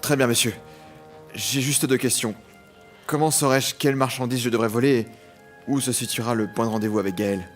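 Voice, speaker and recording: A man's voice: medium pitch, steady tone, a little fast, close to an everyday voice. A man performs a young outlaw apprentice in a radio play. He is earnest, deferential and devoted, with a middle-range male voice. Music plays beneath him. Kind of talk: audio drama acting and first-person narration. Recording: music under the voice.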